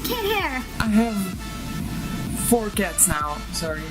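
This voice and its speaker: A woman's voice: mid-range voice, huge pitch swings, slow pace. A woman from Russia with a Russian accent and Russian as her first language, chatting casually to camera as a vlogger in a rambling, giggly manner. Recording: music behind the voice. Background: background music.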